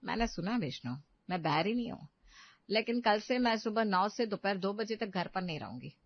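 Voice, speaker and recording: A woman's voice: mid-range voice, lively intonation, brisk, dry recording, lo-fi sound. A woman voicing a defiant, unbothered older mother-in-law in an audio story, sarcastic, curt and self-assured. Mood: calm, firm.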